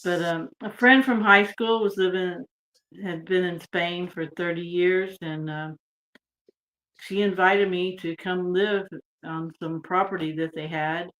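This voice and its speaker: A woman from Texas speaks as a podcast guest, her voice sitting on the low side. She talks in a relaxed, meandering way, trailing off and filling gaps with ums. She sounds like she is on a video call. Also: measured pace, low-quality recording. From United States (Texas). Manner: relaxed and rambling, trailing off with fillers. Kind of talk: casual interview chat.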